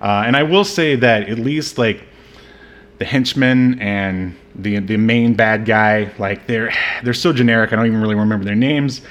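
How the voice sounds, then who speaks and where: A man's voice: lowish voice, moderate pace. Casual, joking male podcast co-host. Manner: joking, rambling, informal slang. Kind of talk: casual movie review chat.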